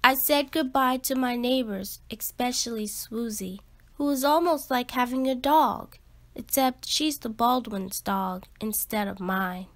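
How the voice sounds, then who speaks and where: A woman reading a children's picture book aloud expressively, with emphatic, deliberate phrasing. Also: on the high side, a bit bright. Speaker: read-aloud storyteller.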